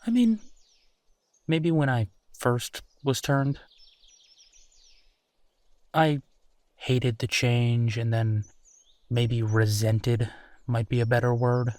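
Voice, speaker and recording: A man playing a friendly, easygoing werewolf boyfriend in human form, talking in a musing, conversational way with hesitant pauses in an intimate roleplay audio. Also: mid-range voice, a little mellow, no echo, lightly acted. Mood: reflective, candid.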